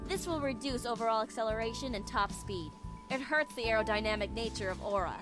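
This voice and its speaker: A woman plays a proud young female mecha pilot from a visual novel game. Her voice sits a little high, with a no-nonsense, serious delivery that is quick to turn defensive. Game music is clearly audible behind her. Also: close to an everyday voice. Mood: matter-of-fact, serious. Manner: no-nonsense, matter-of-fact.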